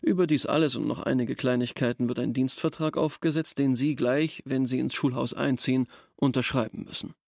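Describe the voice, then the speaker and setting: An actor playing a pompous, grudging village schoolteacher in a literary radio drama, speaking in a pedantic, bureaucratic way. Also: male voice, mid-range voice, steady tone, fairly quick, gravelly, few pauses, a little mellow, no room sound, clean studio sound, close to an everyday voice. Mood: officious. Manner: pedantic, self-important, bureaucratic. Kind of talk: formal dramatized literary dialogue.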